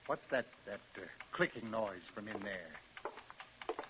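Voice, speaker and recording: An actor playing a grouchy, anxious, tight-fisted property owner, curt and fretful, in a 1940s radio drama. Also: male voice, fairly low pitch, animated, takes it slowly, a bit bright. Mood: anxious, irritated. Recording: dull, noisy 1940s radio recording.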